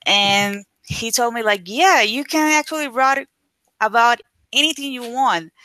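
A woman whose first language is Spanish, a guest on a live video call chatting casually about her work, with lots of "like" fillers and lively retelling. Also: on the high side, a little slow, no echo. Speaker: guest, affiliate marketer. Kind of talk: casual interview chat.

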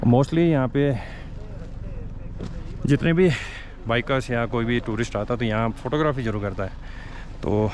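Chatty male rider outdoors, wind noise. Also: mid-range voice. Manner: chatty, excited, points out views. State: short of breath at altitude. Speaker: motorcycle vlogger. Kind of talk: casual travel vlog narration. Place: outdoors on a mountain road. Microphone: helmet or body camera while riding. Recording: loud wind and engine noise.

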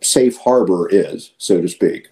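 Older man, very deep dark voice. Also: rough recording. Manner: halting, with repeated words and emphatic asides. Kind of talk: conversational interview answer. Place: indoor room, video call.

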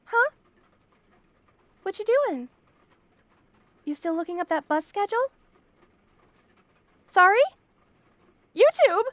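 Bunny girl roommate, roleplay audio: tiny sky-high voice, flustered, evasive, stammering, dry recording. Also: dry recording. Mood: cheerful, sweet. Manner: stammering, nervous, flustered denial. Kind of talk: roleplay audio acting, addressed to the listener.

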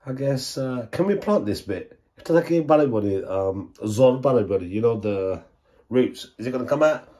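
A man's voice: medium pitch, expressive, normal speed, dark tone. Chatty middle-aged man cooking in his kitchen. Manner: chatty, thinks aloud, jokes and asks himself questions. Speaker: vlogger cooking at home. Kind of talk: casual chatty cooking narration. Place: home kitchen, indoors. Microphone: camera over his shoulder, moving around the counter. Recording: faint background noise, frying sounds.

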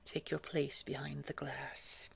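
A woman acting as a scary, condescending boss in an audio roleplay, speaking formally and precisely in a breathy voice. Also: low voice, sing-song, slow pace, fairly bright tone, in a whisper, exaggerated voice. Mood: stern, instructive. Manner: cold, precise, scolding, formal wording. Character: scary, condescending female boss at a secretive organization. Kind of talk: scripted audio roleplay drama.

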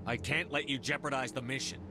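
An actor playing a firm, level-headed human commander in a video game, speaking in a steady, resolute way. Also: male voice, mid-range voice, fairly quick, creaky, natural voice. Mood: stern. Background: music.

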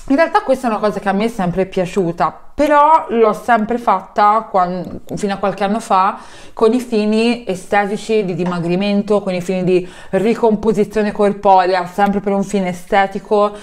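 A young woman talking casually to camera from her living room, chatty, with many fillers and self-corrections. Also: medium pitch. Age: young adult. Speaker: vlogger. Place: bright living room at home.

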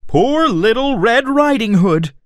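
A man narrating a scripted children's story in an expressive, dramatic storytelling manner. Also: very high voice, slow, no echo, clean studio sound.

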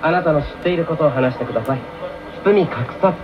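An actor playing a pompous prosecutor with a formal, theatrical way of questioning, in a radio drama. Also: male voice, a little high, fast talker, natural voice. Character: pompous young male prosecutor in a dream courtroom. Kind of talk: 1990s radio drama acting. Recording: muffled AM radio broadcast, music behind.

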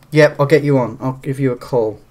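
A man's voice: mid-range voice, moderate pace, darkish tone. Middle-aged man, rambling stream chat. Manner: rambling, restarts, chatty, plays acoustic guitar while talking. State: voice strained from singing. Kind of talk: casual chat. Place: dim small room indoors. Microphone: webcam framing at desk.

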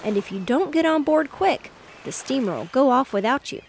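A woman reading aloud, voicing an excitable, bossy young boy who plays ship captain. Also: high-pitched, moderate pace, slight background noise, pitched above the performer's own voice. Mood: eager. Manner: eager and insistent.

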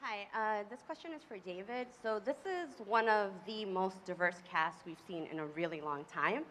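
A woman's voice: medium pitch, normal speed, background noise. A woman from the audience asking an eager, chatty question. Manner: eager, chatty, lots of 'like'. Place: audience in a large room.

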